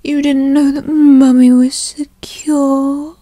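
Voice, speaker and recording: A woman playing a possessive, doting, teasing girlfriend in a whispered ASMR roleplay, coaxing and cooing in a breathy voice. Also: high voice, little pitch movement, mellow, dry recording, studio quality. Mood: smug, doting. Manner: coaxing, cooing, slow and indulgent. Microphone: close studio mic.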